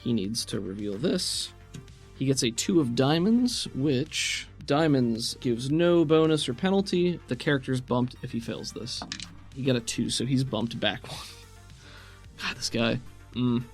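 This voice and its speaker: A man acts as game master for a tabletop session. He moves between vivid scene description and casual explanations of rules, encouraging the players in a mid-pitched voice. Music plays faintly behind him. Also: lively intonation, measured pace. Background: background music.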